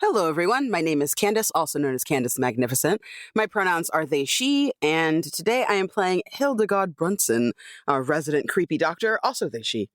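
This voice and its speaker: Lively, playfully theatrical woman, dry close sound. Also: low-pitched, brisk, no room sound. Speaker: player on an actual play show. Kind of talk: casual introduction and banter.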